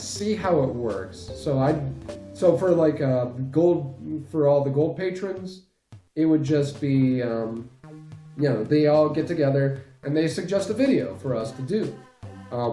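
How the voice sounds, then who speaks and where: Mellow, hesitant man in an echoey room. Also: a little high. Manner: hesitant, rambling, self-deprecating. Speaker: channel host giving project updates. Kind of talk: casual unscripted update talk.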